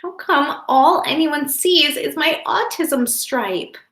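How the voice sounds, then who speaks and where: A woman reads as a sensitive young storybook boy. The voice is somewhat high, with earnest, deliberate phrasing, and echoes in the room. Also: a bit bright. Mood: hurt. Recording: roomy, echoing indoor sound.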